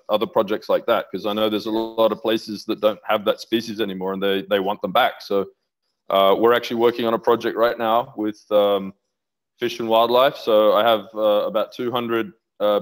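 A middle-aged man talking enthusiastically over a video call from a home office, rambling with restarts about his research. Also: fairly low pitch. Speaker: interview guest, aquaculture researcher. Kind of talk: casual video-call interview. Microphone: headset on video call.

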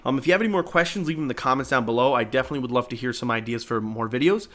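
A man's voice: mid-range voice, rapid-fire, a bit bright. A man narrating a video editing tutorial in an informal, explanatory way. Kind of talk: casual screen-recorded tutorial narration. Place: indoors, voice-over at a computer.

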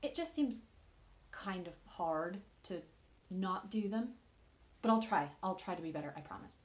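An actress playing a hesitant, grieving young woman in a naturalistic monologue, praying awkwardly with earnest, halting, tentative speech. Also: fairly low pitch, expressive. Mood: earnest, sheepish. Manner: halting, tentative, with long pauses. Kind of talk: naturalistic contemporary dramatic monologue.

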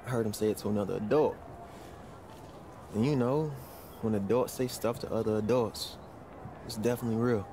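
An actor playing a cocky young basketball player talking casually with an old friend in a video game cutscene. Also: male voice, on the low side, a little fast, pauses often, lightly acted. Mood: reflective. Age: young adult. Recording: clear ambient noise behind.

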